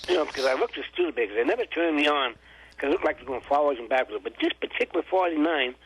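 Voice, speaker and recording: A man chats about old cars on a radio show in a folksy, informal way. His voice is husky and a little high for a man. The sound is dry and close, with no room around it. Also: fast, fairly bright tone, no echo, poor audio. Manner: folksy and informal, loose grammar. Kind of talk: casual on-air car chat.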